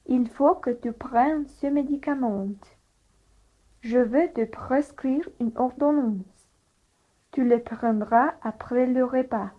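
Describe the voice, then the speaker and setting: A woman's voice: high voice, takes it slowly. A woman carefully reading a learner dialogue, taking both the patient and the doctor parts. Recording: tinny phone-like sound.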